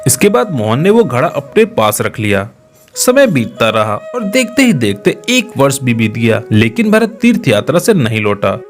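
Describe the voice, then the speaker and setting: A man narrating a scripted moral story for children as a storyteller. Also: a little high. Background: music.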